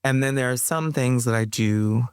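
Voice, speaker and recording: A woman's voice: a bass voice, normal speed, darkish tone, clean tone, no echo, clean studio sound. A woman guest on a podcast interview, speaking thoughtfully with frequent fillers and restarts, laughing now and then.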